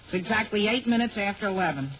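A man's voice: high-pitched, lively intonation, quick pace. An actor playing an anxious, evasive but polite teenage boy in a 1940s radio sitcom, with an earnest adolescent voice. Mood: nervous. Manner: polite, gee-whiz earnestness. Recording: muffled 1940 radio recording, hiss.

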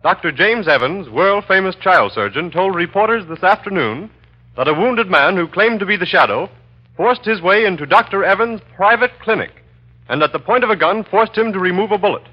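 A man's voice: high voice, lively intonation, fairly quick. A man announcing in formal, emphatic 1930s radio style, reading from a script. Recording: muffled 1930s radio recording.

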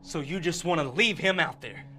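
A man's voice: fairly high pitch, moderate pace, sharp tone, faint music behind. A man playing a loyal, hot-tempered survivor with a teasing streak in an audio drama, casual and direct. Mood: angry accusing.

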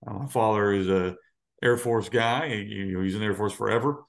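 A man, a high school football coach, telling stories in a relaxed, easygoing way as a podcast guest. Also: a little fast, creaky. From United States. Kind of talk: casual storytelling in interview.

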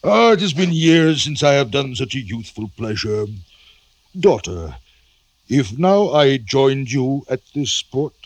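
A man's voice: mid-range voice, very animated, a little slow, no room sound. An actor playing a domineering Russian general and stern, suspicious father in a pompous, theatrical old radio drama style. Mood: mellowing, firm.

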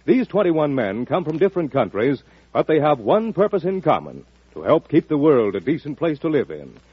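An American man announcing a 1940s radio promo in a punchy, dramatic, husky voice. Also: a little high, sing-song, moderate pace, no echo. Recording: muffled old radio recording, dry.

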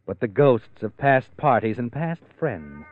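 A man's voice: medium pitch, big pitch swings, a little slow. An actor playing an ominous, accusing male narrator, insinuating and menacing, in old-time radio suspense style. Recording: muffled vintage radio recording, narrow band. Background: dramatic instrumental music.

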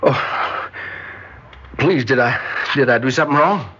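A man's voice: medium pitch, a little slow, sharp tone, slightly rough. An actor in an old-time radio drama playing a needy, nervous young man, with a hesitant, stammering, earnest delivery. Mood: pleading, desperate. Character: needy, nervous young male cousin, awkwardly polite. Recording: dull noisy old radio recording.

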